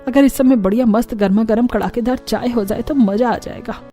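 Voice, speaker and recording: A woman's voice: a little high, fairly quick, warm tone, faint music behind, close to an everyday voice. A woman voicing a bossy older mother-in-law who relishes her food, in a cartoon story, speaking in commands. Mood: relishing.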